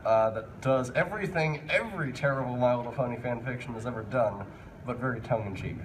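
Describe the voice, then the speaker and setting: A man's voice: mid-range voice, a little fast. A man chatting casually and a little hesitantly at a live convention panel. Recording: noisy live convention room.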